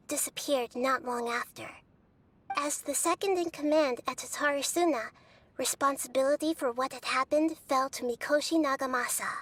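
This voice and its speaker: A woman voicing a serene, wise female guide in a video game, telling history in a measured, formal way. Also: high voice, a bit bright. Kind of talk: composed scripted exposition, game voice acting.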